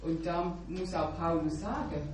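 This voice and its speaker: A woman's voice: fairly low pitch, measured pace. A woman sharing earnestly at a church meeting, often adding an affirming "ja" as she speaks. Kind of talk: informal devotional sharing. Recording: echoey room mic from far off with background noise.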